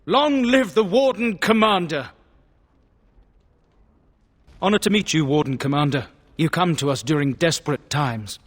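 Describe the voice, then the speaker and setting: A man's voice: on the high side, moderate pace, a bit bright. An actor playing an earnest, indignant lord in a fantasy game, formal and impassioned, with long hall reverb on his voice. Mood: formal, reverent. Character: earnest, indignant lord pleading for starving peasants. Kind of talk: scripted fantasy game dialogue.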